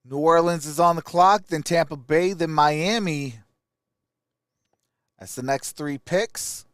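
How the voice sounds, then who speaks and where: A man's voice: on the high side, takes it slowly, fairly bright tone, smooth, no room sound, studio quality. A man hosting a live sports stream, giving casual, opinionated commentary and answering viewer comments.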